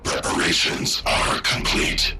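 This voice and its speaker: A man voicing an ancient war-machine AI, speaking in terse, flat commands through a processed machine voice. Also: takes it slowly, over music. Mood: cold, commanding.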